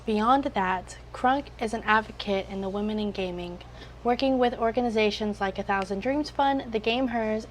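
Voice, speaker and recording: A woman's voice: on the high side, a little hiss or hum, low-quality recording. A woman in her mid thirties hosting an interview show, reading a scripted intro and giving short encouraging replies. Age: 30s. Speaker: podcast host.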